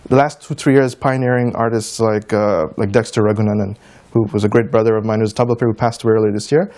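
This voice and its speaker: A man's voice: on the low side, fairly even intonation, quick pace, a little mellow. A man from the United States answers interview questions in an informal, anecdotal way, as a musician and teacher speaking conversationally. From United States, of Trinidadian and Guyanese descent. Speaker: interview guest, tabla player and teacher.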